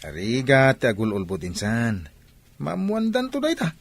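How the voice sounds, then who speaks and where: A husky, jovial Filipino teaser. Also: male voice, mid-range voice, wildly swooping pitch, moderate pace, no echo. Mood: teasing. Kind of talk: radio drama acting.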